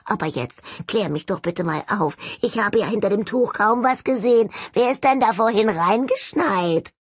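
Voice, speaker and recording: A woman's voice: medium pitch, expressive, moderate pace, slightly rough, no room sound, voice pushed up. A woman voicing a mocking old male ghost skull in a jar, sardonic and mock-polite, in an audiobook.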